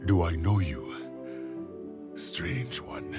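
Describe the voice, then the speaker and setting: An immense, solemn fantasy god speaks from the very bottom of the register. The delivery is extremely slow and deliberate, in the style of audio drama, with music behind it. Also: male voice. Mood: solemn, amused. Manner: slow, solemn, with low chuckles.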